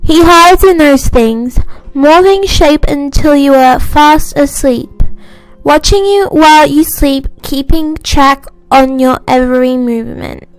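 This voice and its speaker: A woman's voice: sits high, slow pace, no echo, low-quality recording. A woman reading a scripted first-person horror story as narrator. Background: faint music.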